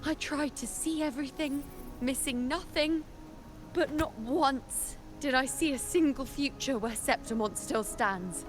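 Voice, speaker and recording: A woman playing an anguished priestess seer in a video game, solemn, theatrical and often breathy. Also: high voice, a little slow. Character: anguished priestess seer despising her own visions of doom. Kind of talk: dramatic video game monologue. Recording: game music behind the voice.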